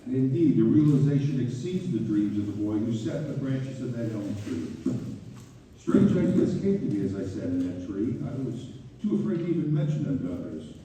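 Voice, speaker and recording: A man in a theater read-through playing an earnest, grateful gentleman voicing his heartfelt letter in a measured, formal way. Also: medium pitch, very animated, lightly acted. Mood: reflective, grateful. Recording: distant, reverberant hall, faint noise.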